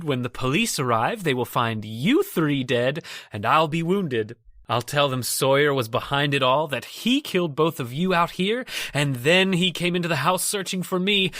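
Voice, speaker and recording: An actor playing a scheming, cold-blooded murderer who hides behind a respectable front in an old-time radio horror drama. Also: male voice, fairly high pitch, pushed and bright.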